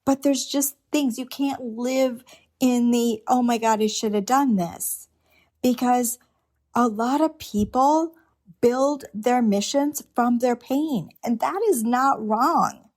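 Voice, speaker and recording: Woman, middle-aged, podcast host, unhurried pace, earnest reflective monologue, rhetorical questions. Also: a little high, a little mellow. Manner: earnest, unscripted, many restarts and rhetorical questions.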